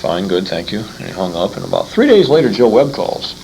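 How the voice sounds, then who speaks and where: A man chatting informally as an interviewer, rambling and halting, often repeating his words. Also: low voice, very animated, gravelly, darkish tone. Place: old-time radio convention. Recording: faintly noisy amateur convention tape.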